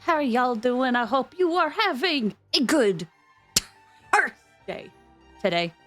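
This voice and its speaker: High-voiced woman streamer with music behind. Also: sing-song, takes it slowly, a bit bright. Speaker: game livestream host. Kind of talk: casual livestream chat greeting viewers.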